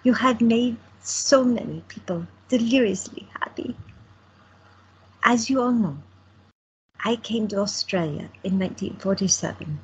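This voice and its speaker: A woman plays an elderly woman speaking with heartfelt conviction, first to a crowd of reporters and then to the woman she loves. Her voice is very husky and breathy. The recording sounds dull and lo-fi. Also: fairly high pitch, measured pace. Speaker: cast member in an audio drama. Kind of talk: amateur audio drama acting.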